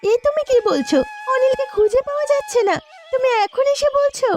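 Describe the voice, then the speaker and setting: Woman as high-pitched, headstrong village girl. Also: expressive, brisk, a little mellow. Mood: alarmed, determined. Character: headstrong, alarmed young village daughter. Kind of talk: cartoon voice acting in melodrama.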